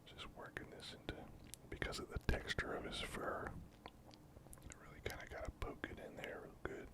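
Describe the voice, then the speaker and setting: A man speaking in a breathy, unvoiced whisper, giving casual, unhurried ASMR commentary as he works and thinks aloud in halting phrases. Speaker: host of a miniature painting channel.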